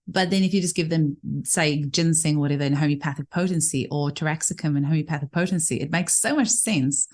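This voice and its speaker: A woman's voice: low-pitched, fairly quick, few pauses, a little mellow. A woman who speaks as well as English, hosting a casual interview in a chatty, effusive manner. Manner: chatty, effusive, laughs easily. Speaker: podcast host and homeopath.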